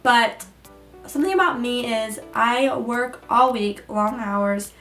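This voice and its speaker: Chatty young woman, slightly hoarse. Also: on the high side, takes it slowly, a bit bright, soft background music. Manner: chatty, rambling, with frequent ums and likes. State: hoarse, getting her voice back. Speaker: beauty vlogger. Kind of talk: casual hair tutorial chat. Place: room at home beside a window. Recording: echoey room, mic a bit far.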